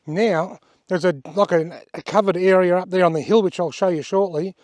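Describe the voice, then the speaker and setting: An Australian man with a slightly hoarse voice, chatting plainly as he gives a casual walking tour outdoors at a wetland and points things out along the way. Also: sits high, steady tone, moderate pace, a little mellow, dry recording. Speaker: outdoor vlogger giving a tour. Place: outdoors at wetlands by a creek and car park.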